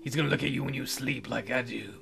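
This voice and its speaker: A man's voice: medium pitch, fast, gravelly, fairly bright tone. A man reading visual novel lines aloud as a let's player, dropping joking, teasing asides. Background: game music.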